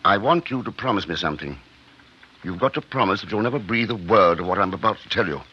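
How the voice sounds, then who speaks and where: A man playing a troubled surgeon in a 1950s radio drama, speaking in a halting, grave and uneasy way. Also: low voice, animated, pushed and bright, husky, muffled recording, full character voice. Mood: anxious, urgent. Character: troubled surgeon confessing a secret experiment to a friend. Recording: noisy band-limited 1950s radio recording.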